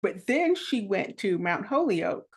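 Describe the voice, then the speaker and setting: A woman's voice: medium pitch, big pitch swings, measured pace, husky. Chatty woman host. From South Carolina, US. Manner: chatty, storytelling, frequent backchannels. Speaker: podcast host. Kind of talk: podcast interview.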